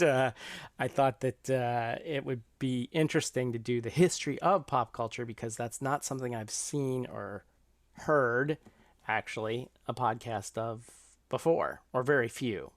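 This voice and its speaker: A middle-aged man hosting a podcast in a casual, halting way, thinking aloud as he talks. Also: mid-range voice, no echo. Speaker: podcast host.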